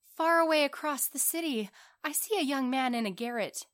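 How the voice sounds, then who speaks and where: A woman plays a noble statue prince from a storybook. The voice is lifted high, earnest and sorrowful, pleading with compassion yet giving commands. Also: moderate pace, a bit bright. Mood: pleading, compassionate. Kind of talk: storybook character voice.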